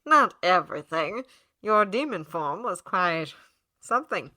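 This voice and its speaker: A woman's voice: fairly low pitch, big pitch swings, a little slow, sharp tone, no room sound, exaggerated voice. A woman voicing a composed male demon who teases in a casual, smug manner. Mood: casual, guarded.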